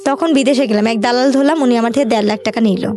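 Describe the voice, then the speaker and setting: High-voiced Bangladeshi woman telling her story. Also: quick pace, faint music behind. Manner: colloquial, run-on narration with quoted dialogue. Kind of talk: personal storytelling in an interview, recounting conversations.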